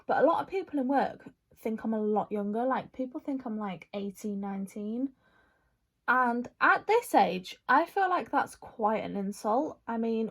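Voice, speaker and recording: A woman, chatting casually through a makeup Q&A, rambling with lots of fillers. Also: a little high. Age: 20s. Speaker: vlogger.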